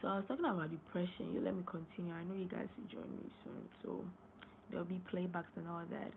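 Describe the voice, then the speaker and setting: A young woman with a hoarse, gravelly, low-set voice, talking loosely on a late-night live stream. She rambles, repeats words and keeps clearing her throat. The sound is thin and phone-like, with a faint hiss behind her. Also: fairly even intonation, fast talker. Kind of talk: casual live-stream talk. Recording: tinny phone-line sound, faint noise.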